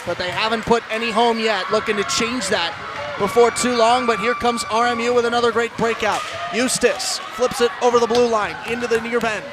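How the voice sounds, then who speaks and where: A high-pitched male play-by-play commentator calls live ice hockey in an animated, projected broadcast voice. Crowd sound and arena noise are clearly heard behind him. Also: brisk. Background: ice rink crowd.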